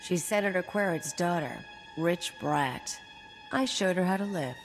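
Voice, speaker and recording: A woman's voice: on the low side, measured pace, natural voice. A woman playing a cynical, brash young mercenary in a video game, curt, dismissive and sardonic. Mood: mocking. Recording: long reverb, music behind.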